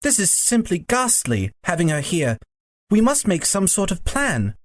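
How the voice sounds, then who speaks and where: Narrator putting on a worried schoolboy, higher voice, earnest n pleading, kids storybook. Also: very animated, unhurried, dry recording, clean studio sound. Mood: anxious, urgent. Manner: pleading old-fashioned schoolboy phrasing. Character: young boy, earnest, excitable, defensive. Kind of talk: audiobook character dialogue.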